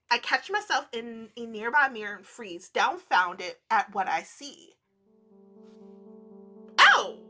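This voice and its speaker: A woman reading a visual novel aloud as a gaming creator, expressive and giggly, with gushing casual asides. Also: fairly high pitch. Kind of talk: playful let's play read-aloud with casual reactions.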